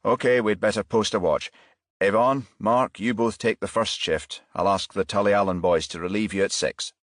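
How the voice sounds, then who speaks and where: A man voicing a businesslike, decisive male police boss in a crime audiobook, measured as he gives orders. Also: lowish voice, fairly bright tone, dry recording, studio quality.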